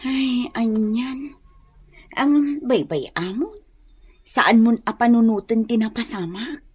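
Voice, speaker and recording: An actress with an Ilocano accent playing a kind, patient young wife who consoles others in a radio drama. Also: female voice, fairly high pitch, lively intonation, slow, breathy edge, full character voice. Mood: consoling. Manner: consoling, reassuring.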